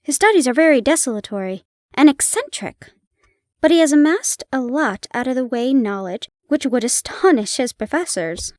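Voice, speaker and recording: A woman's voice: high-pitched, very animated, moderate pace, darkish tone, no room sound, clean studio sound. A woman voicing a friendly, chatty young male hospital dresser in a read-aloud story.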